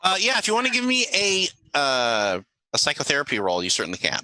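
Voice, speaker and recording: A game master with a bright, lively voice that swings widely in pitch. The talk is casual and improvised, with stammered repeats and restarts as rulings are handed to players. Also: no room sound, low-quality recording. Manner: halting, stammering with repeated words.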